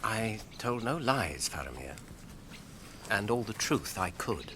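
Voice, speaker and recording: An actor playing a weary, fearful but determined hobbit on a doomed quest, earnest and anguished in a fantasy radio drama. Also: male voice, fairly low pitch, very animated, slow, noisy background. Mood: guarded, earnest.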